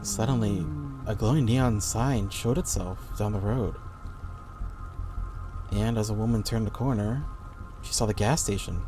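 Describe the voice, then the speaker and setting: A man's voice: fairly low pitch, a little slow, long pauses. A man reading a scripted horror story as the narrator of an audio play. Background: background music.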